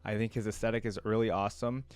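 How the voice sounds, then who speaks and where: A man's voice: fairly low pitch, level tone, fast talker, darkish tone, clean tone, dry recording. A man hosting a reaction video, giving casual, rambling commentary in a conversational way.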